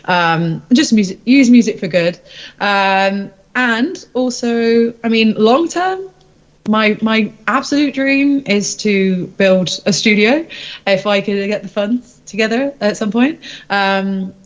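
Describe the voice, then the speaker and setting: A woman's voice: mid-range voice, slow pace, lo-fi sound. A woman singer-songwriter chatting casually in an interview, candid and hesitant as she thinks aloud.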